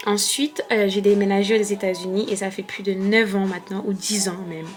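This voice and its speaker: A woman's voice: mid-range voice, little pitch movement, brisk. A woman in her twenties from France, chatting casually to camera in a vlog. She rambles and fills her talk with hesitations and repeated words. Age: 20s. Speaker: vlogger.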